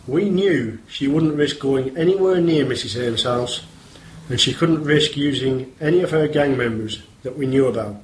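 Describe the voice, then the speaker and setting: A man playing a firm, measured police inspector in an amateur radio play. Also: mid-range voice, slight background noise, lightly acted, poor audio. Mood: stern. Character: stern male police inspector.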